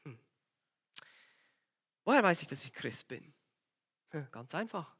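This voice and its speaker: Male preacher, voice a bit worn from a cold, bright and forceful, urgent exhortation. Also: fairly high pitch, very animated, slow pace, husky. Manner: urgent, exhorting, asks the congregation direct questions. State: has a cold, voice a bit strained. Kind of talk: sermon.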